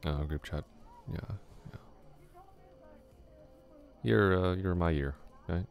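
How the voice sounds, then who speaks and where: A man playing a laid-back flirty classmate, very deep. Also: slow pace, creaky, a little mellow, heavily acted. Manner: casual, easygoing, chuckles often. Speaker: ASMR roleplay voice actor. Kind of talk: ASMR roleplay, soft close talk.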